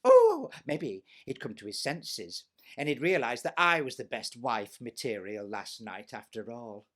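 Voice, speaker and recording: A man's voice: medium pitch, huge pitch swings, unhurried. A man voicing a vain, jealous pantomime step-sister with a British accent, telling a story theatrically with snide, self-important, chatty asides. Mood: boastful, then indignant.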